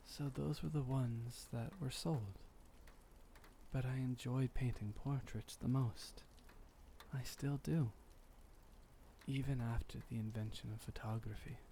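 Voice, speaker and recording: A man playing a gentle, refined, centuries-old vampire boyfriend in intimate ASMR roleplay, soft-spoken and soothing with formal wording. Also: medium pitch, vocal fry, slight background noise.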